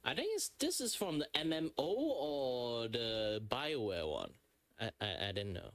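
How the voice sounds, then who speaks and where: A man's voice: medium pitch, very animated, measured pace, fairly bright tone, dry recording. A man from Malaysia with a sore throat, streaming from a home setup into a close desk mic, chatting casually with many fillers and likes. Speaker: VTuber streamer.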